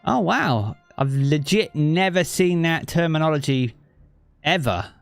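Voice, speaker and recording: A man's voice: on the high side, animated, measured pace, gravelly, no echo, faint music behind. Easygoing man from southeast England, dry sound. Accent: southeast English. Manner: chatty and easygoing, thinks aloud with many fillers. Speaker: game streamer. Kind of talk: casual live-stream chat, reading chat aloud. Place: home living room. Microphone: streaming mic with effects.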